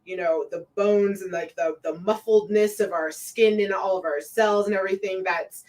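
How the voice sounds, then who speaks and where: A woman co-hosting a casual live stream, relaxed and loose with plenty of fillers, reading out viewer comments. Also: moderate pace, recorded from a distance. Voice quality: says her own voice sounds deep to herself.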